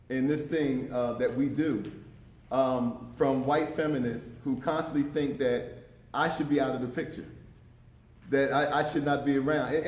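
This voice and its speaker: Deep-voiced man in his fifties, emphatic and impassioned, speaking a bit high for his range in a roomy hall. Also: darkish tone. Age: 50s. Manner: impassioned, emphatic, repeats words when pressing a point. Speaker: panelist. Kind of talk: conference panel talk. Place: conference room. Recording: echoey conference room.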